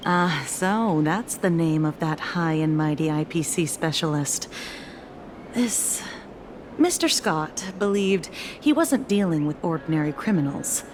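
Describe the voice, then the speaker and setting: A woman's voice: low-pitched, slow, background noise. A woman playing a composed, genial government officer in a video game, speaking politely and explaining things. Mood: wry, friendly. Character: composed, genial female officer of an anomaly department.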